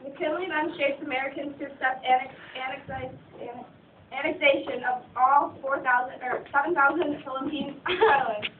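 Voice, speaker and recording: High-voiced young woman, tinny distant recording. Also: steady tone, normal speed. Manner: stumbles and repeats words while reading. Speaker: narrator of a student puppet show. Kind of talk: scripted narration read aloud. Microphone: phone from across the room. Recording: tinny phone recording, distant, echoey, faint noise.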